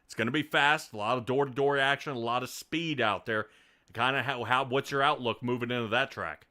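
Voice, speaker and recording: A man's voice: medium pitch, a little fast, sharp tone. A man hosting a sim racing broadcast, interviewing a driver and rambling upbeat commentary with many restarts. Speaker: race broadcast host.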